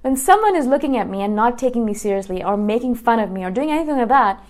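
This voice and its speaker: A woman's voice: on the high side, animated, fast, darkish tone. A woman in her thirties, a YouTuber talking straight to her viewers in a casual monologue, earnest and full of restarts and "right?" checks. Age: 30s. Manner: earnest, repeats words and restarts often, asks "right?".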